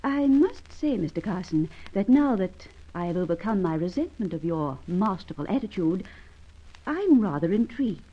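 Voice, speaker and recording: An actress playing a haughty, cool Nordic shop dealer with formal, imperious diction in old-time radio drama style. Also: female voice, mid-range voice, sing-song, normal speed, muffled recording. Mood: cool, intrigued.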